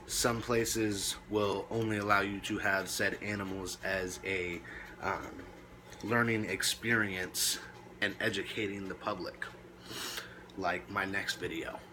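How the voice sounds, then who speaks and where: A man presenting reptile care tips in a casual, laid-back way with short emphatic phrases, now and then coughing or clearing his throat. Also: on the low side, sharp tone, slight background noise, low-quality recording. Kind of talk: casual informal talk to camera.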